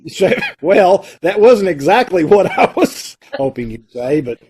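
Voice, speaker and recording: Folksy man hosting, slightly high-pitched voice. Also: very animated, measured pace, a little mellow. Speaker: host of an amateur radio theatre troupe's online show. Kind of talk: casual welcoming chat to an online audience. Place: video call recording. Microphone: video call.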